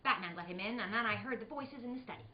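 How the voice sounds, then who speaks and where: A woman's voice: a little high, racing, close to an everyday voice. A woman playing an eager, worried boy sidekick in a hammy old-time radio play, innocent and earnest. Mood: earnest recounting. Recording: roomy, muffled, noisy live recording.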